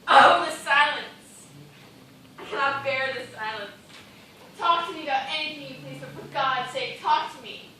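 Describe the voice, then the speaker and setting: Through a very echoey, distant stage recording comes a woman acting as an overwrought female castaway. Her voice is high and theatrical. She pleads in formal phrases, frightened and close to breaking, with clear noise around her. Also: normal speed, sharp tone. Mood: frantic. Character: frightened, overwrought woman dancer adrift at sea.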